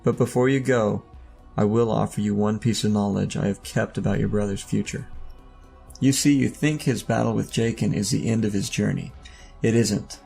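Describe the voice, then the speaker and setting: An actor playing an enigmatic, commanding older robed man in an audio drama, with a gravelly voice and a solemn, cryptic, measured delivery. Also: fairly low pitch, fairly even intonation, dark tone, soft background music. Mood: grave, urgent.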